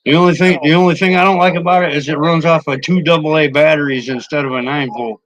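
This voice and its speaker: A man's voice: a little high, fairly even intonation, fast, fairly bright tone, low-quality recording. A man rambling casually about his CB radio microphones, swearing freely and often restarting his sentences. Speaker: CB radio hobbyist streamer. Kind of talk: casual rambling show-and-tell.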